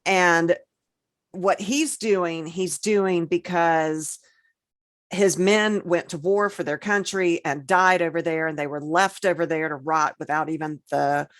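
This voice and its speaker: A woman's voice: fairly low pitch, steady tone, unhurried, no echo, clean studio sound. A woman co-hosting a podcast, chatting casually and retelling examples with emphasis. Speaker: podcast co-host. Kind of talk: casual chat, recounting film examples.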